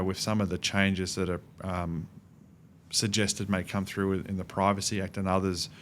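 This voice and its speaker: Middle-aged Australian male expert, very low and flat-pitched, measured explainer in interview mode, faint rumble behind. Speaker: podcast guest, cybersecurity expert. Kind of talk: conversational interview answers.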